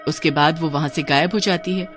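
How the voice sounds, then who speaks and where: A woman's voice: low voice, fairly even intonation, a little fast, soft background music. A woman storyteller giving dramatic scripted narration of a cartoon horror story.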